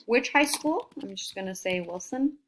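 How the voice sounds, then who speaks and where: A woman's voice: medium pitch, lively intonation, moderate pace, a bit bright, slight background noise. A woman career coach giving an upbeat, encouraging screen-recorded tutorial and talking students through each step. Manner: upbeat, encouraging, talks while clicking through steps.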